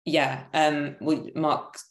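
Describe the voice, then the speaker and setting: A woman's voice: low voice, flat delivery, very slow, creaky. A woman in her thirties talking thoughtfully and tentatively in an echoey room on a video call. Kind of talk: thoughtful conversational discussion. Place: indoor room at home.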